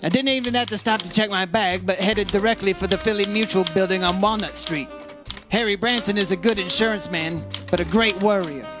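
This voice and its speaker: Radio drama lead: wry freelance investigator, played by a man, high male voice, dry wisecracks, music underneath. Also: fairly quick, natural voice. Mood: dry, narrating. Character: wry, breezy male insurance investigator, hard-boiled but kindly. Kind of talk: 1950s-style radio detective acting and narration.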